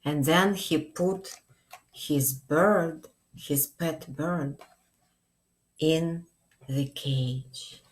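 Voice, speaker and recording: A middle-aged woman reads a picture book at storytime in a low voice. She goes very slowly and addresses young children gently and expressively. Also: steady tone. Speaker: children's librarian. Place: small indoor room.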